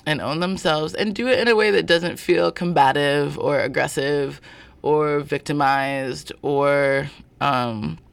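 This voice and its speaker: A man being interviewed as a guest about his work, speaking in a thoughtful, searching way with many pauses and fillers. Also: on the high side, fairly even intonation, gravelly, smooth, dry recording. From born in North Carolina, family from Zimbabwe. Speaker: guest, performer of a show. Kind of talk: reflective interview talk.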